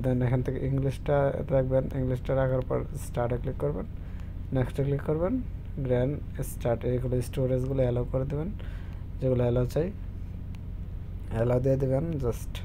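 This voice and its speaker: A man's voice: medium pitch, dark tone, a little hiss or hum. A man giving a casual, unscripted app tutorial, often searching for words as he explains. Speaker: tech tutorial presenter.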